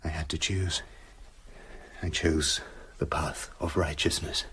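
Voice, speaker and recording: An actor playing a tormented British gentleman scientist confessing his dark side in a brooding, literary radio drama narration. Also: very deep voice, fairly even intonation, slow, breathy edge, heavily acted. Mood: grave, confessional.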